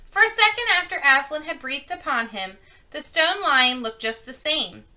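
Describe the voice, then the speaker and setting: Bright, high-voiced woman reading a story aloud. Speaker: reader of a children's book.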